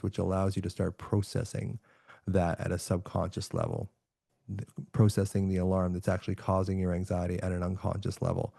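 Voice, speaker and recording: Gravelly low-voiced calm man. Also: no echo, studio quality. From Canada. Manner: calm, reflective, soft-spoken with frequent restarts. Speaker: podcast guest, author and neuroscientist. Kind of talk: relaxed remote podcast interview.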